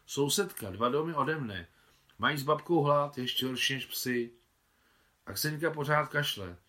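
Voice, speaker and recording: A man's voice: medium pitch, expressive, bright, forceful tone, pitched above the performer's own voice. A man voicing a shy, earnest young boy in an audiobook.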